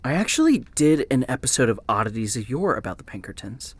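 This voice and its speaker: A man's voice: mid-range voice, very animated, quick pace, husky, no room sound. A man playing a rambling, bookish podcast host who researches occult books, speaking naturally and hesitantly in an audio drama. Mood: puzzled, musing. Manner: hesitant, muttering, explanatory.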